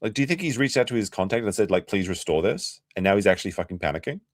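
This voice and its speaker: A man's voice: lowish voice, lively intonation, rapid-fire, no room sound, studio quality. An Australian man hosting a casual livestream, chatty and sarcastic, reacting to clips and laughing often.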